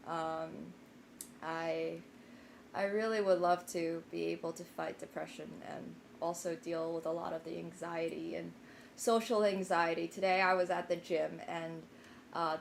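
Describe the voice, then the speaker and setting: A woman's voice: fairly low pitch, vocal fry, slight background noise. Rambling young woman streamer. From Finland. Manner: rambling, many fillers and restarts, self-deprecating. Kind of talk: casual chat to live stream viewers.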